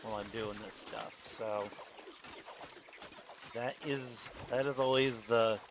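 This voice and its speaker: A man streaming a game, chatting in a relaxed, rambling way. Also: medium pitch, slow pace, very noisy. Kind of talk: casual commentary while gaming.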